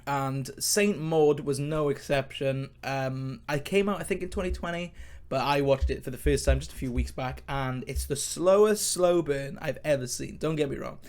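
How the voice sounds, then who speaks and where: A man's voice: fairly high pitch, normal speed, darkish tone. A man hosting a casual, rambling film review, enthusiastic and emphatic, his speech slightly impeded by a sore mouth. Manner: enthusiastic, informal, emphatic and repetitive. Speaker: podcast host, film reviewer.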